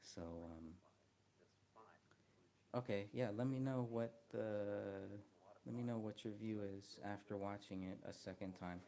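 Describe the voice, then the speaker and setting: Soft-spoken man with a very low voice. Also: a little slow, creaky. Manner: soft-spoken, hesitant, many ums and restarts. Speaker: live stream host. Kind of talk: casual live stream chat. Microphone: close mic.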